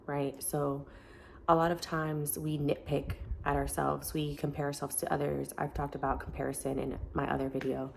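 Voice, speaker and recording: Deep-voiced woman vlogging, slightly gravelly. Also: flat delivery, normal speed, a little hiss or hum. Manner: earnest, encouraging, chatty with many fillers. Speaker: self-help vlogger. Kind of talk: casual talk to camera. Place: family home, indoors.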